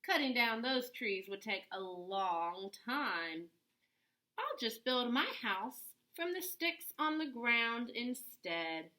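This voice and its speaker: A woman's voice: on the high side, animated, slow pace, pushed and bright, exaggerated voice. A woman voicing a creative, dreamy young male pig from a storybook in a musing manner.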